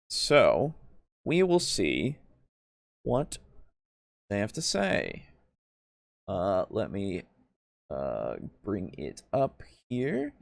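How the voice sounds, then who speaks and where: A man hosting a casual tabletop gaming livestream, talking in a halting way with jokey, self-deprecating asides. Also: medium pitch, vocal fry, no room sound. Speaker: host of a tabletop roleplaying livestream.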